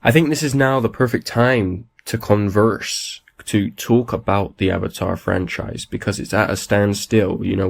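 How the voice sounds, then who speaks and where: A man hosting a solo podcast, raspy from a cold, talking enthusiastically and rambling with lots of restarts. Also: lowish voice. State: has a cold. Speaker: podcast host. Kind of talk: casual solo podcast talk.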